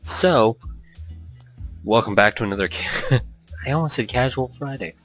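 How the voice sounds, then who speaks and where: A man's voice: mid-range voice, expressive, moderate pace, a bit bright. A man hosting a gaming let's-play in a casual, rambling, self-deprecating chat, reading game text aloud. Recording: music behind the voice. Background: background music.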